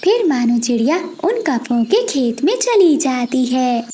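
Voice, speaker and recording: A woman reading scripted narration for a children's animated story. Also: high-pitched, a little slow, slight background noise.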